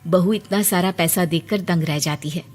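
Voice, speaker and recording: A woman's voice: fairly low pitch, moderate pace, a little mellow. A woman reading a scripted moral story as its narrator. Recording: long reverb, music bed behind. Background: background music.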